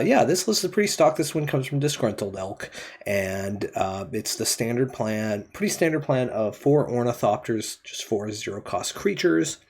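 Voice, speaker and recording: A man's voice: medium pitch, vocal fry, darkish tone, husky. A man streaming a card game, thinking aloud as he plays, his voice still throaty from a cold. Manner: thinking aloud while playing. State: throaty, getting over a cold. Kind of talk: casual card game commentary.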